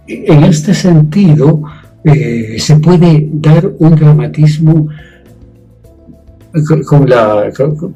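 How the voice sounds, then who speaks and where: A man giving an unscripted explanation, speaking haltingly with long hesitations. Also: medium pitch, warm tone, breathy edge, poor audio. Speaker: comics scriptwriter giving testimony.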